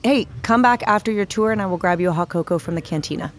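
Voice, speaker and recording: A woman's voice: medium pitch, fast, lightly acted. An actress playing a brash, wisecracking female tech team leader, blunt and teasing, in a naturalistic audio drama. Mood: friendly.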